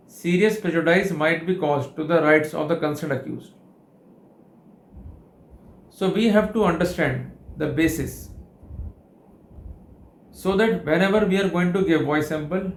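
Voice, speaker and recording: A man with an Indian accent giving a formal instructional lecture on his own, in deliberate, measured phrases with short pauses. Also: a little high. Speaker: legal educator presenting a lecture.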